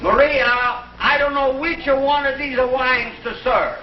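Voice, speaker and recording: An actor playing a melodramatic, jealous lover in a 1960s TV comedy sketch. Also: male voice, extremely high pitch, steady tone, measured pace, a little hiss or hum. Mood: pleading, jealous. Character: lovestruck, melodramatic, jealous Italian suitor in farce. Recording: muffled echoey 1960s TV soundtrack, music, audience.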